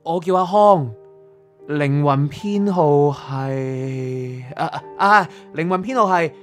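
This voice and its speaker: A man's voice: on the high side, slow. A man with a Hong Kong Cantonese accent acting a bewildered, naive young man in a radio drama, speaking hesitantly. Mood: nervous, bewildered. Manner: hesitant, stammering. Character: bewildered young man lost in a spirit world, pleading and naive. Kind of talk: radio drama acting with first-person narration. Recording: music behind.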